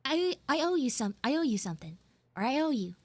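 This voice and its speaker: A woman's voice: high-pitched, big pitch swings, a little fast, no room sound. A woman playing a prickly, defensive schoolgirl in a live audio roleplay, stammering and dramatic as she grumbles and pleads. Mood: awkward, conciliatory.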